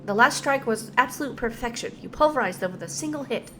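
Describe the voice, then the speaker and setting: A woman's voice: a little high, lively intonation, fast, fairly bright tone, a little hiss or hum. A woman streaming a game, giving blunt casual reactions and reading dialogue aloud in an informal way.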